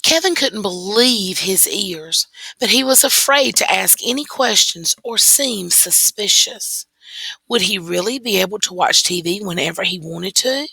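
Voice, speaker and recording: A woman reads a children's story aloud at an unhurried pace. Her voice is bright and forceful with a creaky edge, and she stresses key words deliberately in a close, dry recording. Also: mid-range voice, lively intonation, no echo, rough recording. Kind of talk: scripted story read-aloud.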